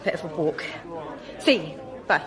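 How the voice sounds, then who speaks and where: A woman's voice: deep voice, lively intonation, moderate pace, crowd noise. An actress playing a hesitant, easily unsettled young woman in a radio comedy sketch. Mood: baffled, uneasy. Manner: hesitant, questioning. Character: young woman, shyly smitten, increasingly baffled and unsettled. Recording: reverberant hall with audience.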